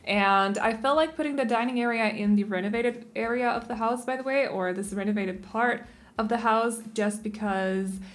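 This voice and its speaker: A woman's voice: a little high, moderate pace. A young Danish woman, not a native English speaker, talks casually over her speed build video, rambling and often correcting her own word choices. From Denmark. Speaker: game building channel host.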